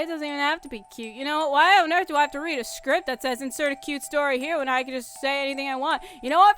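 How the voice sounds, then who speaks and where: A woman's voice: high-pitched, a bit bright. A woman voicing a comedic, sarcastic young dragon storyteller in an amateur audio drama.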